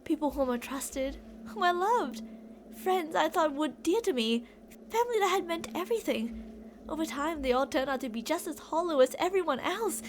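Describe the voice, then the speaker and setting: A woman's voice: sits high, expressive, normal speed, a little hiss or hum. A woman playing an obsessive, delusional young captor in an intimate, rambling ASMR roleplay monologue addressed to a captive. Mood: wounded, bitter.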